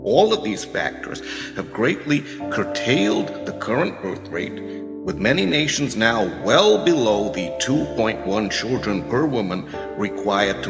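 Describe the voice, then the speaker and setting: A man playing a professor in a radio play, lecturing soberly in a formal, measured, didactic way. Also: lowish voice. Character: male professor soberly lecturing on a grave report. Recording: very echoey hall, music clearly behind.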